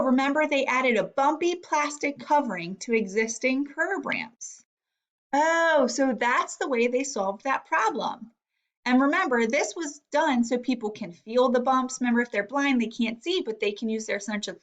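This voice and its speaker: A woman's voice: high-pitched, expressive, normal speed. A woman teacher giving a read-aloud lesson to young children, thinking aloud and guiding them with questions. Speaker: elementary reading teacher.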